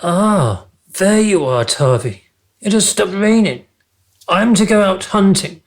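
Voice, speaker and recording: A man's voice: high-pitched, lively intonation, slow, full character voice. A man playing a self-centred king in a comic historical radio play, breezy and commanding. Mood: breezily imperious.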